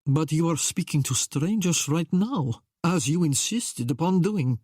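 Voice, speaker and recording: A woman voicing a protective, cautious Italian courtier with an Italian accent, grave and measured. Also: low voice, animated, darkish tone, slightly rough, no room sound, clean studio sound, exaggerated voice. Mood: cautioning.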